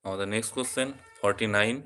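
A man's voice: lowish voice, steady tone, fast, bright, forceful tone, soft background music. A man teaching a quiz lesson, calling out questions and answers in short, separate phrases. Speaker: quiz teacher at a coaching centre.

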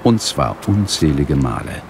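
A probing police inspector, with a low voice. He is dry and matter-of-fact, in an audio drama with slight background noise. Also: sing-song, a little slow, gravelly, exaggerated voice. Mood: wry reflection. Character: composed, probing male London police inspector. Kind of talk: German radio play dialogue and narration.

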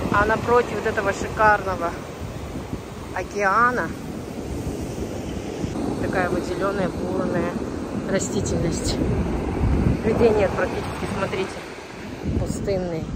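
A woman giving casual, admiring and exclamatory travel vlog commentary outdoors. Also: medium pitch. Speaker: travel vlogger. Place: outdoors, on a windy ocean beach. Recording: loud wind and surf noise.

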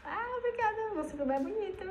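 A woman's voice: extremely high pitch, expressive, fast talker, a little mellow, reverberant, faint music behind. A woman from Brazil in her late twenties, chatting and teasing a stranger on video chat. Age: 20s. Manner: teasing, bubbly. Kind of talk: casual chat. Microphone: earphone mic.

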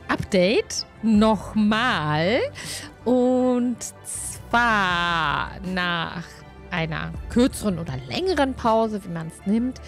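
A middle-aged woman hosting a show with a casual, halting update, speaking close to a microphone at home. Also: medium pitch. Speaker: host of a tabletop role-playing show. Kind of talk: casual scripted-free update and chat. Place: small room at home, indoors. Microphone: close desk microphone, headset worn. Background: music under the voice.

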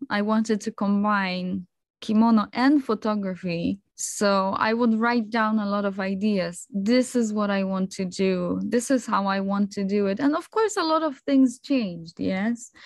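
A woman in her thirties from Poland, a native Polish speaker, chatting as a guest on a video call, relaxed and sprinkled with fillers and small laughs. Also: medium pitch, no echo, clean studio sound. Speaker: guest, kimono stylist and photographer. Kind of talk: casual video-call interview. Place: small room at home.

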